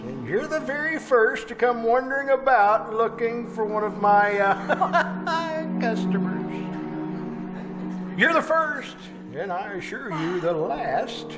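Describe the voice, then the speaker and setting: A gloating old murderer from a radio horror play. His voice is high and swoops widely as he soothes and chuckles with false kindness. Loud music plays behind him. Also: very animated, exaggerated voice. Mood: gleeful, menacing. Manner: falsely soothing, chuckling, halting and repeating himself. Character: sly, gloating old man, a murderous villain. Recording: live stage performance with loud music.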